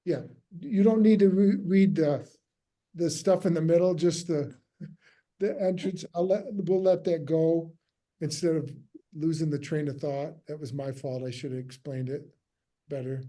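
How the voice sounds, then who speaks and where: Male director at a table read, higher-pitched but very soft-edged, casual, halting delivery. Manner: hesitant, halting, trails off mid-sentence. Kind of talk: casual group discussion, giving notes.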